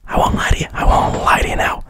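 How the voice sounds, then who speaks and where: A man voicing a scheming, smooth-talking male raccoon, whispering the lines and swearing. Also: fast talker, close to an everyday voice. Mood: sly. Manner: whispered, profane, sly.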